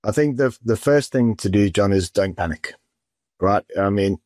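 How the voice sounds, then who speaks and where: A man working as a mortgage adviser who answers interview questions in a measured, businesslike way. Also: on the low side, lively intonation, darkish tone, no room sound, clean studio sound.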